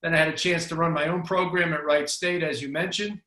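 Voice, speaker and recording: A man's voice: fairly high pitch, fairly even intonation, brisk, bright, forceful tone, reverberant. A middle-aged man with a Boston accent, a basketball coach telling his career story in a casual interview, with frequent restarts. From Boston area, USA.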